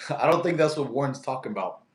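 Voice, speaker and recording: Thirties male streamer, Russian native, chatty and easygoing, mid-pitched, roomy echo. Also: expressive, fast talker. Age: 30s. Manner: laid-back, chatty, laughs often, talks to his cat. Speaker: livestream host. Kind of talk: casual live chat reading comments. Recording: echoey room.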